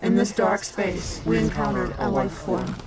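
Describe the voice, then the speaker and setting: A man's voice: high-pitched, sing-song, unhurried, over loud music. A man playing a cold collective machine intelligence in a video game, speaking in clipped, formal statements. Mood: solemn, explaining. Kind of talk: scripted video game cutscene acting.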